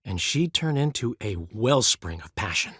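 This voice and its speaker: A charming, vain bard in his middle years in a video game, his voice leaping lively up and down with theatrical flair. He sounds dry and close, with no room around him. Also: fairly low pitch, moderate pace, slightly rough, no room sound, heavily acted. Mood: knowing. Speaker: game character voice. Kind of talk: game voice lines.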